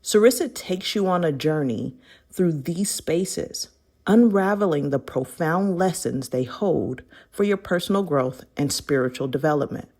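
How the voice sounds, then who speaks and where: A woman's voice: deep voice, animated, a little slow, a little mellow. A woman narrating a scripted, inspirational book foreword in an audiobook style.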